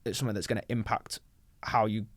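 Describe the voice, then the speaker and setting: A man speaking as a guest in a casual discussion, animated as he recounts personal experiences. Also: lowish voice, fast talker, no echo. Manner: animated, acting out quoted exchanges. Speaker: panel guest.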